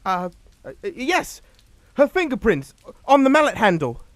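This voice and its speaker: An actor playing a panicky, dim-witted young son in a radio drama, stammering and whining. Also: male voice, extremely high pitch, big pitch swings, measured pace, dry recording, full character voice. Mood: pleading. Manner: flustered, stammering, whiny.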